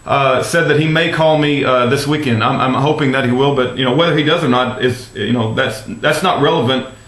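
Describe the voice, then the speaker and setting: A man's voice: mid-range voice, a little fast, a bit bright. American man, earnest caller in echoey room. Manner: hesitant, repetitive, polite. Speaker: activist caller phoning a congressman's office. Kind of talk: earnest phone conversation, advocacy. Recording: roomy recorded phone call.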